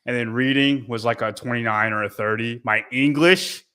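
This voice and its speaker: A man's voice: medium pitch, steady tone, moderate pace, bright, forceful tone, clean tone. A man co-hosting a podcast, rambling and self-mocking in casual chat, full of fillers. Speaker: podcast co-host.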